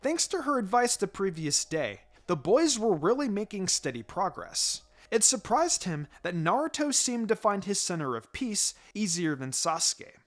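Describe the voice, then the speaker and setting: A man's voice: sits high, sing-song, normal speed, few pauses, a bit bright. A man reading a story aloud as a narrator, in a scripted storytelling style. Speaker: fan fiction reader. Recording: music behind the voice.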